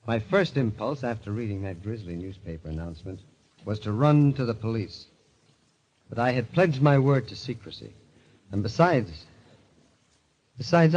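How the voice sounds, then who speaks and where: A man's voice: medium pitch, darkish tone, natural voice. Man acting a haunted, dramatic radio mystery narrator. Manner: dramatic, measured, heavy with dread. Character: haunted club member narrating his obsession, tense, confessional. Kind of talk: first-person golden age radio mystery narration. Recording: dull old radio recording with music.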